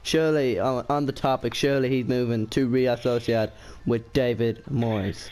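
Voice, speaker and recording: A man playing an eager, goading sports interviewer in a spoof phone-in, informal and quick to interject. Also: mid-range voice, steady tone, close to an everyday voice. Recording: very loud background noise, clicks.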